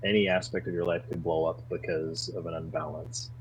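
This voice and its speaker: Low-voiced man, earnest and animated. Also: fairly quick, a little hiss or hum, low-quality recording. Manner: earnest, animated when defending his views. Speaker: podcast guest. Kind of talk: casual conversation.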